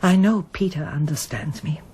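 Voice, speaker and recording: An older woman in a radio drama, reserved and wistful. Her voice sits low and has a husky grain. She speaks hesitantly and with understatement, as a one-time performer who keeps much back. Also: moderate pace, dark tone. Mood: polite, reserved. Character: reserved older woman, former pianist and child refugee, wistful. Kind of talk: radio drama acting, monologue and dialogue.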